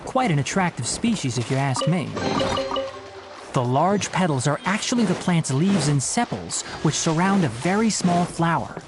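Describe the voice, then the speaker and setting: A man's voice: fairly high pitch, animated, normal speed, close to an everyday voice. A man playing a young forest ranger in a video game, precise, earnest and a little prim, explaining things like a botanist guide. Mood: lecturing. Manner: precise, instructive, slightly prim. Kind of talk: scripted video game voice acting. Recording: game music behind the voice.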